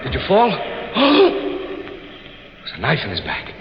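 A man's voice: medium pitch, wildly swooping pitch, a little slow, creaky, full character voice. A man acting a frightened, earnest young man in a melodramatic 1940s radio crime drama. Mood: panicked. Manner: agitated, melodramatic. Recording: muffled old radio recording.

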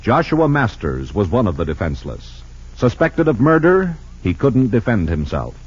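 A man's voice: lowish voice, wildly swooping pitch, moderate pace. A man as a solemn, portentous announcer narrating an old radio drama in a theatrical scripted style. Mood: grave, dramatic. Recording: dull old radio recording. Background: loud music.

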